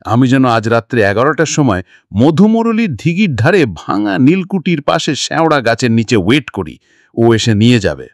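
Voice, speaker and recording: A man's voice: medium pitch, big pitch swings, moderate pace, dry recording, clean studio sound, natural voice. A man voicing a nervous, talkative secretary in an audiobook, telling his tale in a wordy, dramatic, pleading way. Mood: anxious, dramatic. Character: nervous, talkative male secretary recounting a frightening night visit. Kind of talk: audiobook character dialogue.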